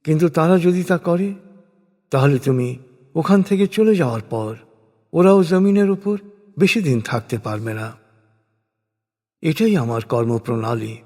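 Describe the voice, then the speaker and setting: Guy reading holy text, kinda high voice, solemn n measured, big echoey reverb on it. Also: animated, dark tone. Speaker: reciter of scripture translation. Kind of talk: scripted solemn religious narration. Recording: long cavernous reverb.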